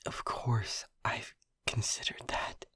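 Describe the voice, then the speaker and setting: A man voicing an anxious, devoted technician lover who whispers his pleas in a husky, creaky voice and stammers as he talks. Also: slow, pushed and bright, no room sound. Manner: hesitant and stammering, pleading. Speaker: audio roleplay performer. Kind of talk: intimate whispered audio roleplay.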